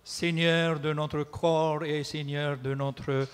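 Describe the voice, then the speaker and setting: A man interpreting a sermon in a church, measured and sometimes hesitant. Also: a little high, level tone, clean tone, big-room sound. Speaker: pastor and interpreter. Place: church hall.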